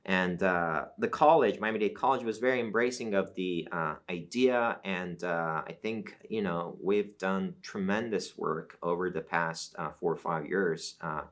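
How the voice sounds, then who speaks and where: A middle-aged man from Iran, whose first language is Azeri Turkish, speaking as a professor guest in an academic interview, measured and explanatory with frequent fillers. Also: deep voice.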